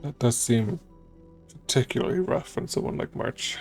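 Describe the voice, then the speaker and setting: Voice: medium pitch, lively intonation, normal speed, darkish tone. Casual, musing game streamer over faint music. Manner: musing, informal. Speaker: streamer playing and reacting to a game. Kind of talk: casual gameplay commentary.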